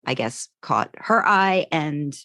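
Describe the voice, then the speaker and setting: A woman with a low voice, recorded close and dry. She co-hosts a podcast, chatting casually and letting her thoughts run on in loose, trailing pieces. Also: animated, takes it slowly, bright, forceful tone, no room sound. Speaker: podcast co-host. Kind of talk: casual chat about fan fiction.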